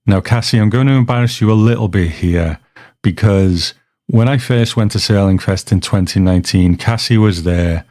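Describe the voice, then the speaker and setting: A man with a low voice hosts a podcast in a dry, close recording. He talks casually and reflectively, wandering into asides as he goes. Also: normal speed, a little mellow, dry recording. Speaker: podcast host. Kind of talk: casual hosting talk, introducing listener clips.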